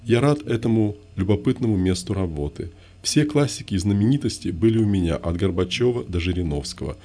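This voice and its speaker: A man narrating his own memoir in the first person, with a measured, list-like delivery built on short, repeated refrains. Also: fairly low pitch, lively intonation, dark tone.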